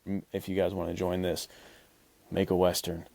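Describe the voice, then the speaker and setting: Male podcast host, low-pitched, close dry sound, loose encouraging chat. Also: completely flat delivery, normal speed, gravelly, a little mellow, no room sound. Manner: rambling, encouraging, heavy use of "like".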